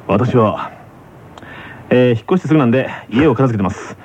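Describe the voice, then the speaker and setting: An actor playing a stern teenage tennis captain who hosts a radio drama show with formal, dramatic announcing. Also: male voice, medium pitch, expressive, a little fast, a little mellow, slight background noise, close to an everyday voice. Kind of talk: scripted comic radio drama hosting.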